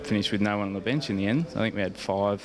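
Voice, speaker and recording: A young Australian man answers sports interview questions in a lowish voice. He rambles casually with hesitant, laid-back phrasing, and crowd chatter is clearly audible behind him. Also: fast. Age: young adult. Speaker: Australian rules footballer being interviewed.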